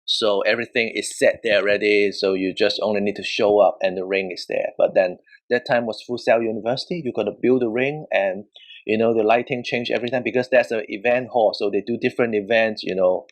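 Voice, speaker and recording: A man's voice: fairly low pitch, steady tone, a little fast. Casual man with a Hong Kong accent. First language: Cantonese. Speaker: professional wrestler, interview guest. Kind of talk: casual storytelling.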